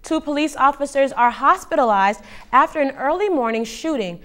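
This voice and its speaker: A woman presents news stories in a steady, measured way. Her voice is on the high side. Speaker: news anchor. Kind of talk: scripted news reading.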